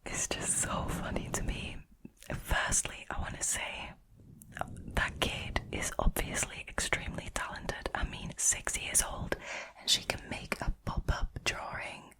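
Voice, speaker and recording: Whispering woman, slow and breathy ASMR. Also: poor audio. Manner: informal, chatty, amused. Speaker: host reading and reacting to short stories. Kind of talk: whispered ASMR storytelling with casual chat.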